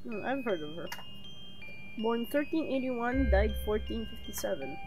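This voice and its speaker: A woman's voice: fairly high pitch, expressive, normal speed, over music. A woman giving casual, sardonic commentary as she streams a game. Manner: sardonic, wry.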